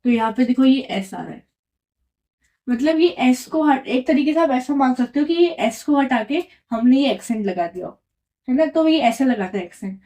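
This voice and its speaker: A woman's voice: high voice, lively intonation, normal speed, warm tone. A woman teaching French, explaining patiently and often checking in with the listener. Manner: patient, repeats and checks in with "right?". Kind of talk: explaining a lesson at a whiteboard.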